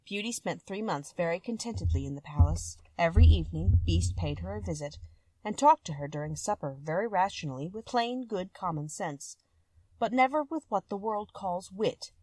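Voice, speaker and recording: A woman's voice: fairly low pitch, animated, normal speed, warm tone, no room sound, low-quality recording. A woman reading a classic fairy tale aloud as scripted storybook narration.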